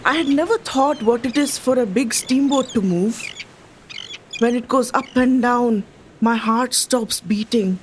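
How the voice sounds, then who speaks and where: An actress plays an awed Indian lady traveller of 1906 in a radio drama. She speaks very slowly and formally in a fairly high, anxious voice, with faint background noise. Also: lightly acted, poor audio. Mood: alarmed, awed. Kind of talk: radio play monologue, reading a travel account.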